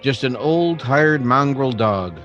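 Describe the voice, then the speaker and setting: A man playing a reflective general-store keeper who narrates his memories in an old-time western radio drama, in halting phrases. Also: mid-range voice, expressive, fairly bright tone, lo-fi sound, faint music behind.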